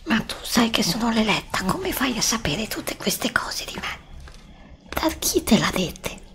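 A woman's voice: on the high side, big pitch swings, measured pace, a bit bright, full character voice. Woman acting whispering frightened, weary lost heroine. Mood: distrustful, hesitant. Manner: whispered, breathy, plaintive, hesitant with repeated phrases. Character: frightened, weary, hungry chosen heroine lost in a forest, distrustful. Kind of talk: ASMR roleplay, whispered acting. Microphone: close desktop USB microphone.

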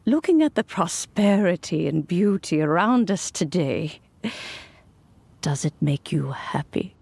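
An actress voicing a wise elderly woman in a video game, speaking in a formal, measured way. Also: fairly low pitch, expressive, creaky, darkish tone, breathy edge, dry recording, exaggerated voice. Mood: reflective. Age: older. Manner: slow, stately, formal speech.

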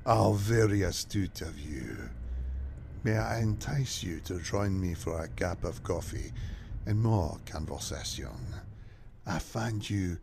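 An actor playing a suave, mysterious French stranger in a radio mystery, courtly and seductive in a French accent. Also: male voice, sits low, animated, slow, vocal fry, background noise, full character voice. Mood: smooth courting. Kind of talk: radio mystery drama acting.

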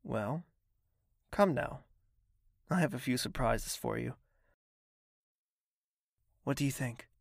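A man playing a mysterious, suave admirer in a romantic fantasy audio drama, gravelly, courtly and flirtatious. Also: mid-range voice, expressive, takes it slowly, long pauses, dry recording, studio quality, exaggerated voice. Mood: gently teasing. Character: mysterious suave male admirer, gentle and flattering.

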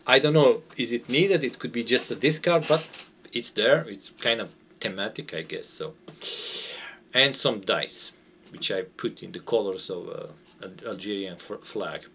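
A man's voice: lowish voice, measured pace, pushed and bright, slight background noise. A man, an English speaker, giving a casual, unscripted game walkthrough and hesitantly searching for words. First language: not English. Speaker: board game designer playtesting a game. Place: small room at home, indoors.

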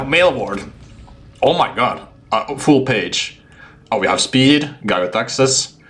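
A young man streaming a game from a room at home, giving excited live reactions over game audio. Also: mid-range voice, slow pace. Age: young adult. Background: game audio and music.

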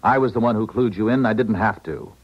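Low-voiced, placating go-between. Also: male voice, quick pace, slightly rough. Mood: defensive, reasoning. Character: loyal, placating male go-between in a defection plot. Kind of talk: 1970s American radio drama acting. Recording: muffled, noisy 1970s radio recording.

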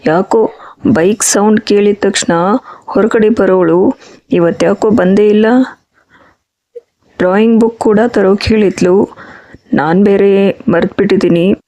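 A woman voicing a patient, soothing father in a children's cartoon story, speaking in a gentle, explanatory, coaxing way. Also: medium pitch, steady tone, unhurried, pauses often, lightly acted. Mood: musing.

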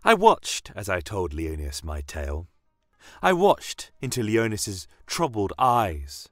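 A man voicing a horned fantasy army commander tells his war story in a very low, slow voice. He is solemn and defiant, measured in delivery. The sound is dry and close, with music underneath. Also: fairly bright tone, no room sound, heavily acted. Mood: solemn, earnest. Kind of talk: dramatic first-person fantasy audio story.

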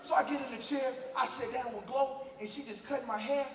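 A man's voice: extremely high pitch, steady tone, a little fast, a bit bright. An American man in his thirties telling a comic story to an audience with energy, acting out dialogue and repeating phrases. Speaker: speaker at a church youth night. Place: large auditorium stage, picked up from the audience off a big screen. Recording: echoey hall, distant mic, faint noise.

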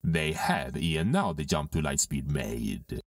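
An actor playing a menacing armored dark lord, speaking grave archaic verse in an audio drama. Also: male voice, a bass voice, big pitch swings, takes it slowly, no room sound, studio quality, full character voice. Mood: cold, assured.

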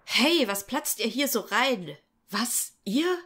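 An actress playing a snippy, irritated young student assistant with a husky voice in a parody radio play. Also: female voice, fairly high pitch, lively intonation, slow, background noise. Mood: annoyed.

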